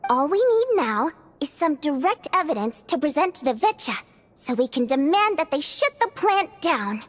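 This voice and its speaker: A woman playing a bubbly, cunning young theater singer in a video game, expressive, chirpy and coaxing. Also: high voice, normal speed. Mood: confiding, scheming. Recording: music under the voice.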